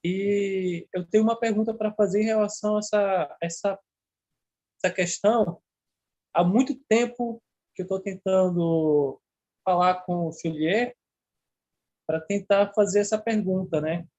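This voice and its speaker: Hesitant high-voiced Brazilian man on a call. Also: unhurried, darkish tone. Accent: Brazilian Portuguese. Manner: hesitant, with many fillers. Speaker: caller asking a question. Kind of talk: first-time caller on a video call. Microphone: video call at home.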